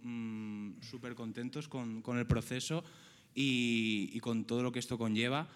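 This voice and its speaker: A man's voice: mid-range voice, fairly even intonation, a little slow, smooth. A man from a theatre cast talking about the project at a press presentation, with many restarts and fillers. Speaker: cast member of a zarzuela production. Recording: long hall reverb.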